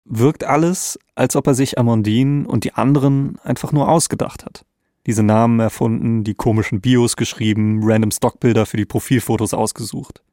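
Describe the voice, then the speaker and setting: A man's voice: medium pitch, steady tone, moderate pace, mellow, dry recording, studio quality. A man co-hosting an investigative podcast in a conversational narrating style. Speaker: podcast co-host.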